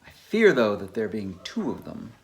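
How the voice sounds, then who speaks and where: Man acting a smug, animated fussy bachelor. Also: fairly low pitch, normal speed, creaky, exaggerated voice. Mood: smug musing. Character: self-satisfied, fussy scholarly bachelor. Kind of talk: dramatized novel reading.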